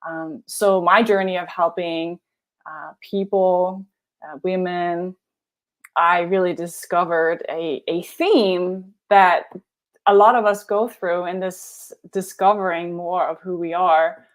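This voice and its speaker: A woman from Denmark, a life coach talking in a soft, hesitant way with many fillers. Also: on the low side, steady tone, takes it slowly. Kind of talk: casual online chat.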